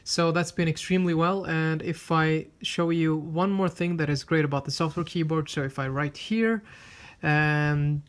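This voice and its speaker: Hesitant tech reviewer, Swedish native speaker. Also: male voice, fairly high pitch, normal speed. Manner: relaxed, hesitant, frequent fillers and restarts. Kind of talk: casual product review with live demo.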